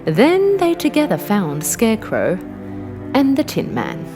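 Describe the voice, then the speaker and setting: A woman narrating a scripted children's fairy tale in an expressive, dramatic way. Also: a little high, measured pace. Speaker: storyteller, narrator. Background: music.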